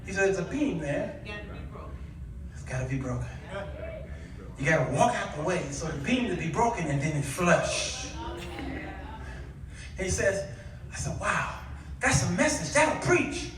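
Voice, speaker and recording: A man preaching an animated, colloquial sermon, repeating his punchlines and acting out bits of dialogue to draw responses from the congregation. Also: sits high, unhurried, long pauses, crowd noise. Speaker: guest preacher. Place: church sanctuary before a responding congregation. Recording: very echoey hall, distant microphone.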